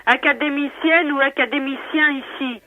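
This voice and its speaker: A woman answering the phone as a receptionist, brisk and correcting, in unscripted conversation. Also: sits high, fairly even intonation, no room sound. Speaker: association receptionist. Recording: dull lo-fi telephone line.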